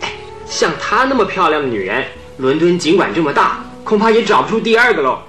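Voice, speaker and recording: A man's voice: on the high side, big pitch swings, brisk, sharp tone, soft background music. A man playing a chatty, gossiping driver in a Chinese radio drama, talking emphatically and sure of himself. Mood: gossipy, eager.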